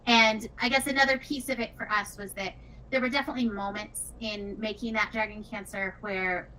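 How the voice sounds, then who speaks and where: A woman's voice: mid-range voice, steady tone, a little fast, sharp tone. A woman talking casually and earnestly as a game developer in an interview, reflecting as she goes. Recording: distant mic, faint background noise.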